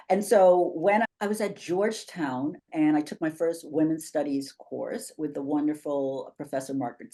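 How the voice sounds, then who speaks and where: Low-voiced woman in her fifties. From Bahamas. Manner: articulate, animated, gestures while speaking. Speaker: guest, professor and institute founder. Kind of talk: conversational interview answers. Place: home office, indoors. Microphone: webcam on a video call.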